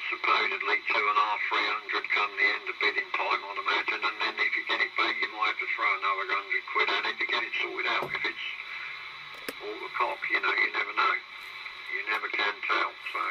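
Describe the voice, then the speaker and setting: A man's voice: lowish voice, completely flat delivery, a little fast. British man on a noisy radio link. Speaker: CB radio hobbyist. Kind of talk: casual chat over CB radio. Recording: noisy, staticky CB radio transmission.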